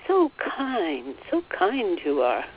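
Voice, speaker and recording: A woman leading a guided meditation, relaying a guide's words in a gentle, soothing way and often repeating phrases. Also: fairly low pitch, wildly swooping pitch, slow, lo-fi sound, a little hiss or hum. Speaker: spiritual teacher leading a meditation.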